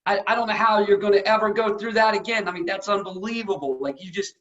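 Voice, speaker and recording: A man's voice: sits high, quick pace, a bit bright. A man with an American accent hosting a casual interview, effusive and admiring in how he talks. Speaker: interview host. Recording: dull, lo-fi audio.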